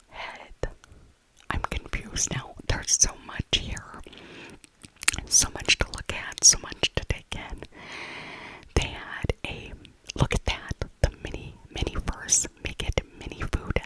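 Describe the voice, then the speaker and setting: A middle-aged woman whispering a breathy, rambling ASMR voiceover, softly repeating her phrases. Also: sits low, poor audio. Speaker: ASMR creator.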